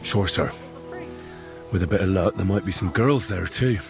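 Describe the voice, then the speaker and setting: A keen young squire in a game, does what he's told, lowish voice. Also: moderate pace, vocal fry, over music. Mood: willing. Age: young adult. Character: eager, obedient young squire. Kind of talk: video game cutscene acting.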